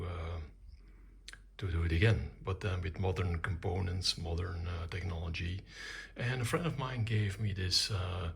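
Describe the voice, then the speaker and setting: An older man with a low, very husky voice presents a hobby electronics project. His delivery is informal and halting, moving in short, measured phrases with a gravelly creak. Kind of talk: informal technical demonstration.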